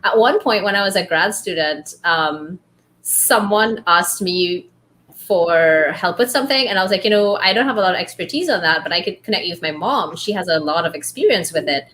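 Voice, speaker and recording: Animated Trinidadian woman telling stories. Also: fairly low pitch, moderate pace, a little hiss or hum. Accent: light Trinidadian, trained toward neutral. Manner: animated storyteller, laughs often, acts out quotes. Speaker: book club host. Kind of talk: casual chat.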